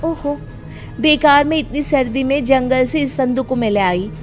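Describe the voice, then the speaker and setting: A woman voicing a curious, easily alarmed young girl in an animated story. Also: high-pitched, normal speed, music in the background, lightly acted.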